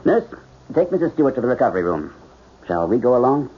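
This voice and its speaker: An actor playing a principled, lovelorn surgeon, measured and formal, in 1970s radio drama style. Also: male voice, mid-range voice, very animated, a little hiss or hum, lightly acted. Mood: courteous, confident. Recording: dull, band-limited radio drama recording.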